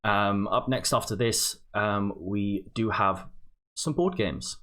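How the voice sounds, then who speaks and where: Male stream host, young adult, fairly low pitch, informal and halting, making unscripted announcements and chat. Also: fairly bright tone. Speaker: charity livestream host. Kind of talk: casual livestream announcements and chat. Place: indoor home streaming setup.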